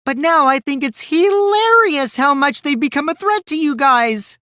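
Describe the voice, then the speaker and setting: A man's voice: very high voice, lively intonation, normal speed, sharp tone, dry recording, studio quality, heavily acted. A man voicing a mischievous, taunting teenage boy schemer in a video game, with a light boyish voice and a theatrical, teasing delivery. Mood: gloating, megalomaniac. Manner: theatrical, teasing, swinging between sing-song and cold. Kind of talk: animated video game dub acting.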